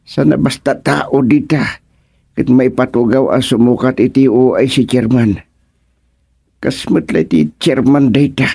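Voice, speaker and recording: A man's voice: mid-range voice, a little slow, creaky, mellow, husky. A man with an Ilocano accent playing an ailing, indignant, stubborn village official in a radio comedy drama, speaking in a grumbling way.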